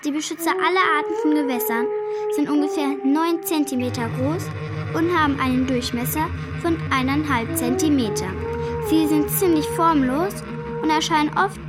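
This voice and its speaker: A woman's voice: sits high, normal speed. A woman reading scripted lore in a matter-of-fact reciting manner. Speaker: narrator, reader. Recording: voice under loud music.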